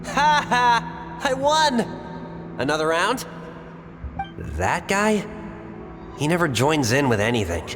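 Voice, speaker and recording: A mocking young boy in a game memory scene. He sounds offhand and taunting, fairly high and lively, in long reverb over music. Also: slow pace, long pauses, full character voice. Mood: dismissive. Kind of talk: video game voice acting.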